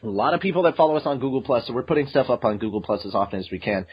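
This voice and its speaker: A man hosts a fan podcast in loose, casual chat, excitable and joking as he rambles through plugs and news. His voice is husky. The audio is dull and lo-fi. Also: mid-range voice, rapid-fire. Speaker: podcast host.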